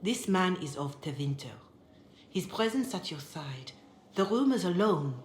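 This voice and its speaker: An actress playing a formal, cautious woman of rank who speaks in a measured, deferential manner. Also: on the low side, big pitch swings. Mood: disapproving. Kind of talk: video game dialogue acting. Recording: roomy game capture, faint background noise.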